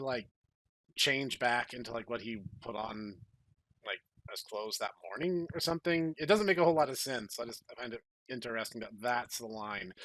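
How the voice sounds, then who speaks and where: A man's voice: mid-range voice, bright, forceful tone, dry recording, low-quality recording. A man hosting a podcast, talking in a rambling, casual way and reading stories aloud. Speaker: podcast host and story narrator. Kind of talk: casual chat and dramatic reading.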